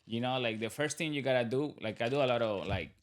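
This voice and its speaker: A man's voice: medium pitch, fast talker. A man in his thirties from Colombia with a Colombian accent, a podcast guest talking casually and rambling with restarts. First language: Spanish. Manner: rambling, restarts, laughs often. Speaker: podcast guest, business owner. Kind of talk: casual interview talk.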